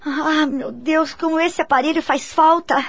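Actress as worried, courteous young Brazilian woman, radio drama; high voice, hesitant, closely recorded. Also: steady tone, a little slow, no echo. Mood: worried, affectionate. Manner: polite, hesitant. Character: anxious, polite young working woman in love.

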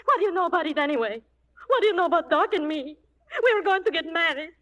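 A woman's voice: very high voice, lively intonation, quick pace, fairly bright tone, muffled recording. An actress playing a fiery, jealous young saloon girl in a 1940s radio western, defiant and emotional. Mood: hysterical, jealous.

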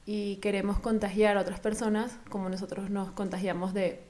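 A woman's voice: mid-range voice, flat delivery, brisk, darkish tone. A woman from Venezuela with a Venezuelan accent, speaking as an interview guest in a casual, explanatory way. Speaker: interview guest, co-founder of a volunteer project.

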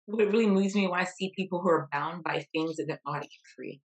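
A woman guest coach, earnest and a little halting, who is losing her voice. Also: fairly low pitch. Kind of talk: interview conversation.

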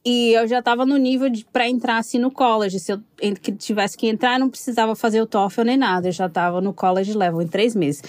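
A woman from Brazil in her thirties, telling her story in a casual interview, animated and laughing often. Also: on the high side, fairly quick, few pauses, no room sound.

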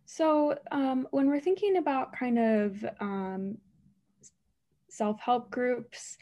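A woman's voice: fairly high pitch, slow, warm tone. A woman who stutters, a speech-language pathologist answering interview questions in an earnest, emphatic way, with frequent repetitions and blocks.

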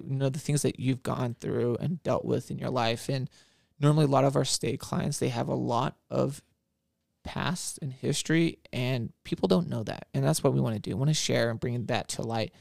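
A man's voice: mid-range voice, gravelly, no room sound, clean studio sound. A man hosting a podcast, interviewing guests in a casual conversational style. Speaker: podcast host. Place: office, close dry sound.

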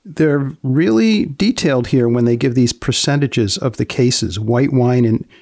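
A male podcast host with some fry in his voice, recorded very dry. He talks conversationally and puts pointed questions in a deadpan manner. His pitch sits in the middle for a man. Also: a little slow, no echo. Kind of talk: conversational interview.

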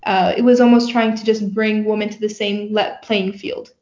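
A woman's voice: mid-range voice, fairly even intonation, normal speed, darkish tone. Teen girl from turkey, chatty, says like a lot, echoey muffled room sound. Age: teens. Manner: chatty, many "like" fillers. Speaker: guest, young company founder. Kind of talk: casual interview answers. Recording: roomy, dull lo-fi sound.

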